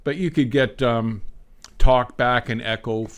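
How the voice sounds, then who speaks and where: An older man talking casually on a live stream like a veteran trucker reminiscing, in a folksy, unhurried storytelling way. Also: mid-range voice, steady tone, no room sound. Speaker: livestream host, veteran truck driver.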